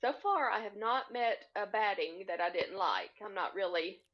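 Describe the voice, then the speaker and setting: A woman's voice: medium pitch, moderate pace. A woman answering as a podcast guest in a casual, matter-of-fact way over dull call audio. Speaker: podcast guest, hobby quilter. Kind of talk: casual interview chat. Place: remote split-screen video call.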